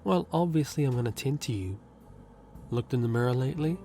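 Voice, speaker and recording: A man's voice: mid-range voice, expressive, brisk, mellow, close to an everyday voice. A man playing a confident, self-centered handyman in an audio roleplay, teasing and cocky. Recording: music bed underneath.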